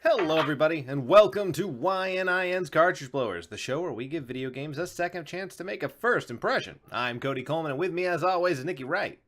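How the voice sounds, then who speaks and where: A man's voice: a little high, lively intonation, fast talker, hardly any pauses, fairly bright tone. A man hosting a casual, comedic gaming show, chatting in a jokey, rambling way. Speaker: show host. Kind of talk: casual comedic video game show chat.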